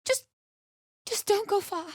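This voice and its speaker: An actress voicing a frightened, grieving young wife in a fantasy video game, pleading and tearful, breaking into anguished cries. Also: female voice, very high voice, a little slow, no echo, studio quality. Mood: strained.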